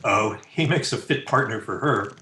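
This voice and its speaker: A man's voice: fairly low pitch, moderate pace, sharp tone. A man playing a sardonic, wisecracking young fiancé in an old-time radio drama. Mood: sardonic griping. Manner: wisecracking, griping, slangy. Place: indoor room, recorded over a video call. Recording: roomy echo, noisy home video-call recording.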